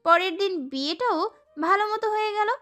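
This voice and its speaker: A young woman playing a sassy, feisty girl who tells her own love story in an expressive, girlish way. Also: very high-pitched, normal speed. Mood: dramatic, musing. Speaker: narrator and lead actress. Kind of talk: dramatised first-person romance story narration.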